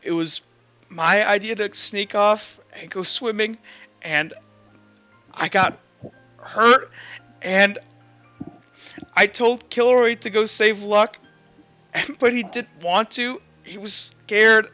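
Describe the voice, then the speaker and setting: A man voicing a guilt-ridden, tearful teenage daughter who speaks in a halting, pleading way. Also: sits high, steady tone, pushed and bright, pitched above the performer's own voice, full character voice. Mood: tearful, guilty. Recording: dull lo-fi audio under music.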